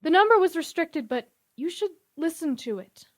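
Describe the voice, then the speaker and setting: A woman's voice: extremely high pitch, animated, normal speed. A woman voicing an earnest, talkative bank manager who gets flustered easily. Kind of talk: amateur 1930s-style radio drama parody.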